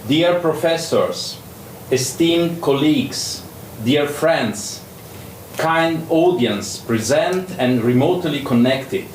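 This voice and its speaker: A man's voice: on the high side, animated. A man with an Italian accent, a native Italian speaker, reading a formal letter aloud. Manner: slow and formal. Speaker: panel speaker. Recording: echoey hall, faint noise.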